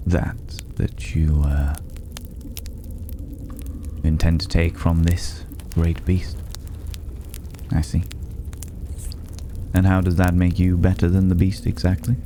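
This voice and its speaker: An extremely deep male voice , put on by a man, plays a cultured, reclusive stranger in a fantasy ASMR roleplay. He speaks slowly, with thoughtful pauses and soft drops toward a whisper. Clear background noise sits behind the voice. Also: little pitch movement. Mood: probing, disdainful. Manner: measured, pausing, philosophical, lapsing into breathy whispers. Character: mysterious, cultured, solitary male stranger living among dragon's hoard.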